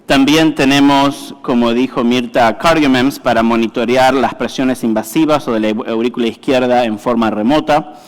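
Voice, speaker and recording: Brief: male presenter, halting delivery, echoing lecture hall. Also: mid-range voice. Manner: halting, many fillers and self-corrections. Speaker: conference speaker, cardiologist. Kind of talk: medical conference talk. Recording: reverberant hall.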